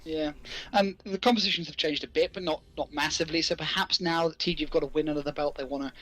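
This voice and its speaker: A man's voice: fairly high pitch, steady tone, fast, pushed and bright, low-quality recording. A man doing casual esports commentary, thinking aloud as he analyses and calls the play.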